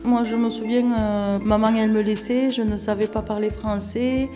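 A woman's voice: a little high, level tone, normal speed, over music. A woman in her thirties, born in Turkey with Turkish as her first language, recalling her childhood in an interview, hesitant with many fillers and restarts. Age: 30s. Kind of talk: casual recollection in an interview.

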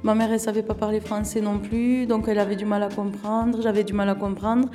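A woman's voice: medium pitch, little pitch movement, fairly quick, mellow, faint music behind. A woman in her thirties, born in Turkey with Turkish as her first language, recalling her childhood in an interview, hesitant with many fillers and restarts. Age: 30s. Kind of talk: casual recollection in an interview.

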